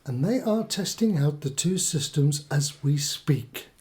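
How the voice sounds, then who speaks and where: A middle-aged British husband in a radio drama, a newly converted believer in odd theories. He explains things earnestly and pedantically, and his pitch moves around in a lively way. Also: unhurried. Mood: earnest, insistent. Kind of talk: radio play acting.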